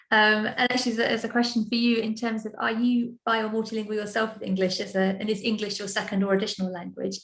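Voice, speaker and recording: A woman's voice: medium pitch, vocal fry, reverberant, rough recording. A young adult woman moderating a live online Q&A, relaying audience questions in a friendly way over a video call. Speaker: event moderator.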